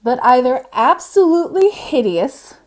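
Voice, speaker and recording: A woman reading a storybook aloud as a librarian host, in an expressive, measured storytelling manner. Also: high-pitched. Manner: expressive, measured storytelling with brief informal asides.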